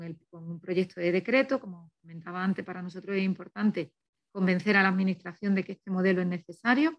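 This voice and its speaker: A woman gives a prepared conference talk haltingly, slightly muffled by a face mask. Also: mid-range voice. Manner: halting, with repeated words and pauses. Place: small office, indoors. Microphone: video call computer microphone, through mask. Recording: dull lo-fi video-call audio.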